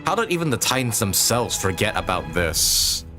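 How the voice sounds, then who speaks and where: A man's voice: on the low side, very animated, normal speed, bright, forceful tone, soft background music. A man doing casual live game commentary, thinking aloud in musing asides. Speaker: game streamer.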